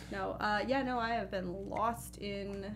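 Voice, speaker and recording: A woman's voice: mid-range voice, steady tone, slow, a crowd in the background. A woman co-hosting a gaming talk show in casual, conversational speech. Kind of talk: casual panel chat about video games.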